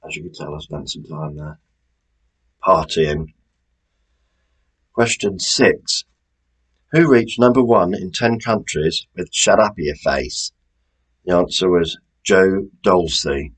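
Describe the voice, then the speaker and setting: A man hosting a quiz, reading out questions and answers in a deliberate, measured way with informal asides. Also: low-pitched, animated, husky, low-quality recording. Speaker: quiz host.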